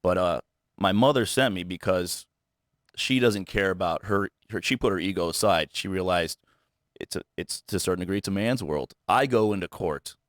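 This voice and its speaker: A man being interviewed, talking candidly in halting bursts, often restarting and repeating words, earnest and self-critical. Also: deep voice, no room sound, clean studio sound. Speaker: guest, realtor.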